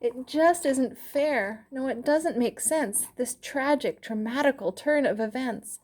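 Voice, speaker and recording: A woman's voice: sits high, unhurried, darkish tone, voice pushed up. A woman voicing a suffering righteous man who pleads with God in anguished rhyme. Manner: anguished, rhyming lament.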